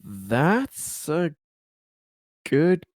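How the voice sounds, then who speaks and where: A man's voice: on the high side, big pitch swings, drawn-out words, a little mellow, no room sound, clean studio sound. A man playing a tabletop game, thinking aloud in a casual, tentative way.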